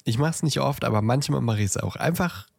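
A man's voice: mid-range voice, expressive, fairly quick, gravelly, breathy edge, no echo, clean studio sound. A man with a head cold, chatting casually as a podcast co-host and often searching for words. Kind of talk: casual banter. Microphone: close podcast microphone.

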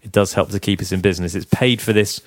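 A young man hosting a casual podcast, his voice croaky, chatting, teasing and laughing often. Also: sits low, steady tone, fast talker, dry recording, clean studio sound. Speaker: podcast host. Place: flat.

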